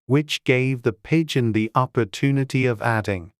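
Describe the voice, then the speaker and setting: Audiobook narrator: mid-pitched, smooth, measured and gentle, scripted storytelling. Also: no room sound, clean studio sound.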